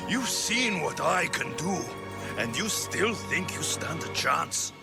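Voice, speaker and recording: An actor playing an arrogant, threatening young warrior of a secret cult in a video game, with a slow, taunting delivery. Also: male voice, a little high, big pitch swings, vocal fry, music in the background, exaggerated voice. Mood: cold, menacing.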